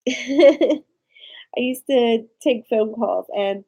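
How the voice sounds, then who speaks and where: Brief: woman with a Maryland accent, chatty vlogger, slow and mellow. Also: on the high side, slightly rough, muffled recording. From Maryland, USA. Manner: rambling, chatty, talks to live viewers. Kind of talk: casual live-stream chat.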